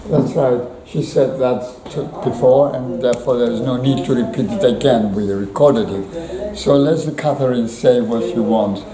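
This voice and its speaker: A man with a Cypriot accent hosting a casual conversation, earnest and full of halting restarts. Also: mid-range voice. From Cyprus. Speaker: host of a theatre venue. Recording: echoey room with crowd chatter.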